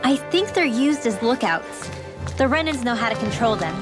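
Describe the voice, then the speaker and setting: A woman plays a spirited young female game character with a very high, lively voice. She is outspoken and animated, with music underneath. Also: moderate pace, close to an everyday voice. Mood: matter-of-fact. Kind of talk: scripted English game voice acting. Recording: background music under dialogue.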